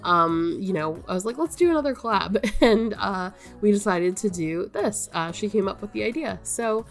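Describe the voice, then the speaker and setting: A woman's voice: medium pitch, animated, moderate pace, faint music behind. A young woman with a nasal voice, sick and with her voice wearing out, chatting to her viewers in a rambling way, full of ums and likes. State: stuffy-nosed and sick, voice wearing out. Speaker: gaming YouTuber. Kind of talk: casual chat to viewers.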